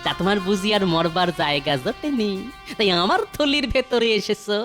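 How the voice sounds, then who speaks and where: Casting: crafty henpecked blacksmith, folk-tale cartoon, high-pitched, highly animated, grumbling. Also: male voice, a little fast. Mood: gloating. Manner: exclamatory, grumbling, theatrical. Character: excitable village blacksmith, henpecked but crafty husband. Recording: music behind the voice.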